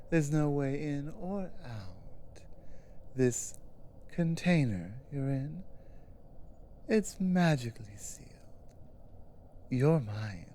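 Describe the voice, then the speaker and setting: A woman playing a powerful, menacing giantess captor in a dramatic ASMR roleplay, commanding, deliberate and taunting. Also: very deep voice, mellow, a little hiss or hum, heavily acted. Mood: domineering. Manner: commanding, deliberate, taunting, with pauses and breathy drops.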